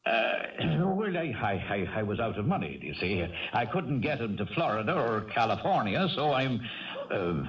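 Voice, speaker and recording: Radio drama role: small down-on-his-luck Irish horseman, hot-tempered blarney, lively swooping delivery, vintage muffled sound. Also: medium pitch, normal speed, a little hiss or hum. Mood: sheepish wheedling. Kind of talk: 1970s radio drama acting. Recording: dull, distant vintage radio recording.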